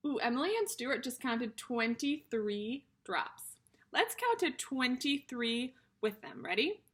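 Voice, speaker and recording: A woman reads a picture book aloud as an early childhood teacher. Her voice is high and bright, with a husky, breathy edge. She addresses young listeners directly and explains each idea in simple terms. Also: sing-song, slow pace.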